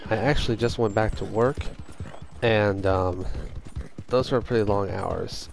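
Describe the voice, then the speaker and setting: A young man with a hoarse voice chatting casually over his gameplay as a gaming host, swearing when things go wrong. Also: on the low side, unhurried. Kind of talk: casual let's-play commentary.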